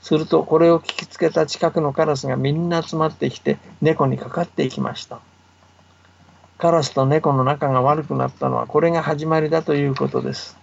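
A man telling folktales as a guest on a radio show, chatty and relaxed, with asides to the host, heard over a muffled remote line. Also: on the high side, fairly quick, creaky. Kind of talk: folktale telling in casual talk. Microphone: remote line to the studio.

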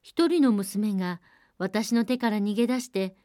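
A woman's voice: medium pitch, measured pace, mellow, no echo, lightly acted. A courteous young prince from a fairy tale, voiced by a woman storyteller. He speaks politely but will not be refused. The sound is dry and close. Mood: earnest. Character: young prince, courteous, possessive and insistent suitor.

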